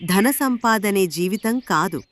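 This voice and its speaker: Lively female storyteller. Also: medium pitch, takes it slowly, slight background noise. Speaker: narrator. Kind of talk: scripted moral story narration.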